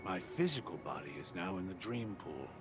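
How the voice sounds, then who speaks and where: A man playing an earnest, wistful war survivor in a video game, speaking politely, reflectively and haltingly. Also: deep voice, very animated. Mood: grateful, subdued. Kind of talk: scripted video game dialogue. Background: game music.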